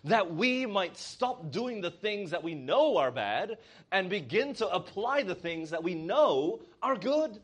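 A man's voice: high voice, very animated, measured pace, slightly rough. A man preaching a sermon, reading scripture aloud and often asking the congregation to answer amen. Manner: reads scripture aloud in old-style wording.

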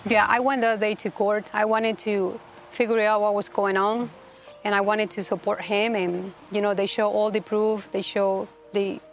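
A woman from Rica, a guest telling her story in a halting, earnest way with many restarts. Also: mid-range voice, flat delivery, soft background music, rough recording. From Costa Rica. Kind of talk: personal testimony interview.

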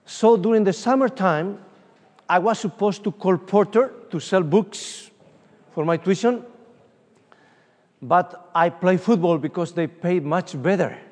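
A middle-aged evangelist from Uruguay speaks English with a Spanish accent, his voice sitting a little high for a man. He tells stories in an animated way and often pauses on "uh". The recording sounds distant, with long reverb from a large hall. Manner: animated, storytelling, frequent fillers. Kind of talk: conference sermon with personal stories. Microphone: room microphone from a distance.

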